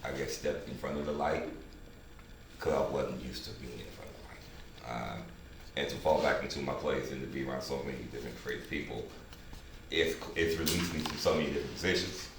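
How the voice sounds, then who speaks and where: A middle-aged man with an extremely deep voice addresses his team casually. He is off-mic in a room full of echo. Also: brisk, gravelly. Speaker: team leader, producer. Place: indoor room at a group table read. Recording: very echoey room, far mic, noisy.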